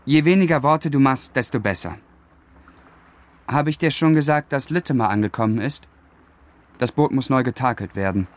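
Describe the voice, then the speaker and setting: Male, young adult, medium pitch: actor as charming, haughty, brooding gentleman for period radio drama, worldly nonchalance. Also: moderate pace, pauses often, lightly acted. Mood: brisk nonchalance. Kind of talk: literary radio drama dialogue.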